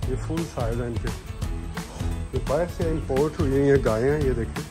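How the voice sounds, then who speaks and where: A man's voice: mid-range voice, fairly quick. A man, giving a casual, informal walk-around tour outdoors, often urging viewers to look. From Pakistan. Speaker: vlog host. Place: outdoors, roadside and open farm sheds. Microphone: phone at arm's length. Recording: background music under outdoor phone audio. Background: music.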